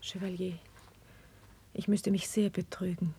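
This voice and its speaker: A woman playing a gentle, dignified young queen in 1950s classical radio drama, with measured, formal stage diction. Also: on the low side, little pitch movement, slightly rough, no room sound. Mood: gracious, guarded. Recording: dry 1959 radio drama recording.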